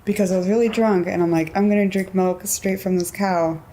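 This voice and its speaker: A woman in her twenties from California, chatting casually in an interview, relaxed and giggly with lots of filler words and a low voice. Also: fairly quick. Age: 20s.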